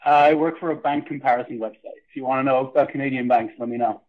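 A man's voice: medium pitch, steady tone, fast. A man from Ireland with a Dublin accent, calling in to a podcast with brief, dry answers. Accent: Irish, Dublin. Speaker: caller, podcast contestant. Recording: tinny phone line.

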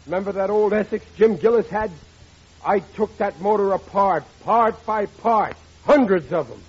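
A man's voice: sits high, normal speed, breathy edge. An actor playing a stubborn, boastful, bumbling middle-aged American father in an old-time radio sitcom, with a blustering manner. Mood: insistent. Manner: blustering, malaprop-prone. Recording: muffled, noisy vintage radio recording.

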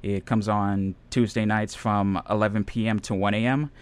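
Relaxed rambling male radio DJ. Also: on the low side, normal speed, smooth. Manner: relaxed, rambling, many fillers. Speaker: radio DJ and audio editor. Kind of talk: casual radio interview chat.

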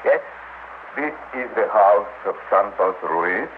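A wily small-town mayor in an old radio drama, with a very low voice that swings freely in pitch. He speaks Spanish-accented English with halting, insinuating politeness. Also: expressive. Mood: officious. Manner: hesitant, insinuating, ceremoniously polite. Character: wily, fawning Mexican town mayor, middle-aged man. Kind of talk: classic radio drama acting. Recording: noisy old dull radio recording.

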